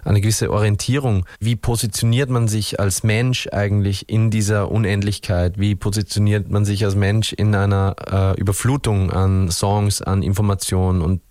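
Thoughtful Austrian man with a low voice. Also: little pitch movement, moderate pace, hardly any pauses, warm tone, no room sound, clean studio sound. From Upper Austria. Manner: thoughtful, rambling, many restarts and fillers. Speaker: musician guest. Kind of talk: radio interview.

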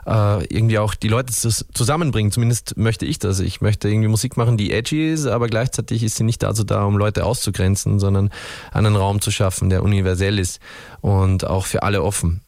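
A man's voice: fairly low pitch, a little mellow, dry recording, clean studio sound. A man with an Austrian accent, a musician answering radio interview questions in a thoughtful, rambling way with many restarts. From Upper Austria. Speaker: musician guest.

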